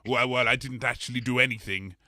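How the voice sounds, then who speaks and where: A polite blob creature made of many absorbed people, with a bright, forward voice at middling pitch. The delivery is hesitant and rambling, quick to apologise, in a dry, close comedy audio drama recording. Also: male voice, steady tone, dry recording. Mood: rambling, genial.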